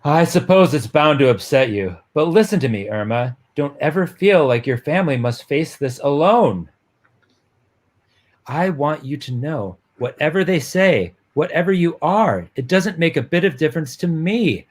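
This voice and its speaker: A man's voice: on the high side, lively intonation, moderate pace. A man playing a loyal young boyfriend, tender, coaxing and earnest, in a dramatic romance-comic reading. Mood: reassuring.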